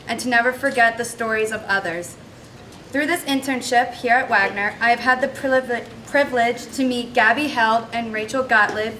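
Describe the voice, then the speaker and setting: A young woman reads a prepared speech with earnest, measured phrasing, her voice crisp and bright. She is heard from a distance, with faint noise behind her. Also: a little high. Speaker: student speaker.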